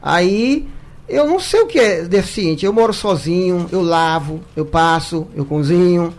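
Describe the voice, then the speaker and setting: A man's voice: high-pitched, expressive, unhurried. A man, a guest on a radio debate, telling stories in a jokey, chatty way and often repeating words as he starts. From Paraíba, Brazil. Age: 50s. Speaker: guest, singer. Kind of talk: radio studio debate, casual storytelling.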